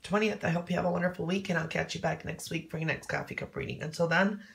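A hoarse woman giving a casual fortune reading from coffee grounds, loose and chatty with many ums. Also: on the low side, fairly even intonation, quick pace. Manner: loose and conversational, many "um"s and "okay?"s. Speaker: coffee cup reader.

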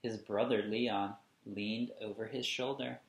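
A man in his thirties reading a picture book to young children expressively, with emphatic, deliberate delivery and explanatory asides. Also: on the low side, steady tone. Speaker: children's read-along host. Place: small indoor room at home. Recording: echoey room, camera at a distance.

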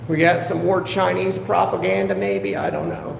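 Guy doing a high creaky granny voice, sugary, calls everybody darling, filming on a phone inside a public building. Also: sing-song, normal speed, slight background noise, heavily acted, rough recording. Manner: syrupy, calls everyone darling, talks of self as Grandma. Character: meddling sugary old grandma, elderly woman. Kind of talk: trolling livestream banter. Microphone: handheld phone camera.

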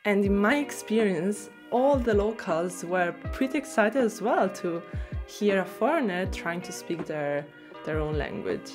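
A young woman with an Italian accent, talking casually to camera in a small room about learning a language, expressive and quick to laugh. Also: medium pitch, over music. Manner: chatty, expressive, laughs easily.

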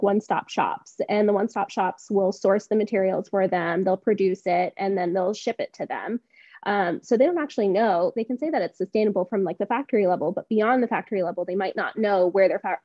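Woman, thirties, panelist, casual talk, soft dark timbre, dry lo-fi video call. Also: medium pitch, no room sound. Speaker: expert panelist, small business founder. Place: indoor room on a video call. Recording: dull, lo-fi video call audio.

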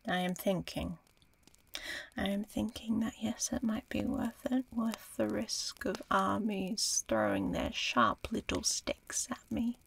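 Casting: woman to play an ancient female dragon for fantasy audio roleplay; unhurried, gravelly half-whisper, aloof yet teasing and curious. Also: mid-range voice, lively intonation, a little hiss or hum, full character voice, poor audio. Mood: intrigued, pondering. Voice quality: breathy, often whispering. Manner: slow, measured, musing.

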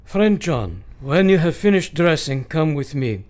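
An actor playing a grave, eccentric older professor in a radio drama, with solemn, formal and quaintly phrased speech. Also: male voice, a little high, sing-song, unhurried. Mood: urgent, mysterious. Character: eccentric, grave, older male foreign professor and vampire hunter.

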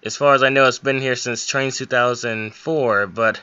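A man's voice: mid-range voice, fairly even intonation, normal speed, pushed and bright, clear, resonant tone, dry recording, dull audio. A young man giving an informal, step-by-step screen tutorial with a somewhat hesitant way of explaining. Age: young adult. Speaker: hobbyist game modder giving a tutorial.

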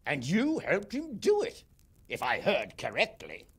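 An actor playing a blunt, impatient lord who swears and jokes dryly, with a gravelly, husky voice. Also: male voice, on the high side, huge pitch swings, unhurried, heavily acted. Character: blunt gruff male lord, impatient and sardonic.

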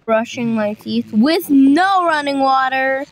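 A young adult woman improvising an animated game roleplay, narrating each action in an offhand way. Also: sits high, takes it slowly, dry recording. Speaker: roleplay storyteller voicing all characters.

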